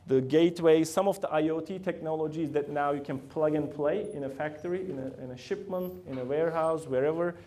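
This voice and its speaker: A man's voice: fairly high pitch, dark tone. A middle-aged man with a Turkish accent giving a lecture to students in a university room, often asking the audience questions. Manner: asks the room frequent questions, loose grammar. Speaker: guest lecturer.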